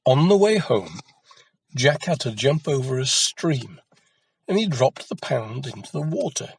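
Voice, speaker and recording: A man narrating a children's folktale as an expressive storyteller. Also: mid-range voice, measured pace, gravelly, slightly rough, no room sound.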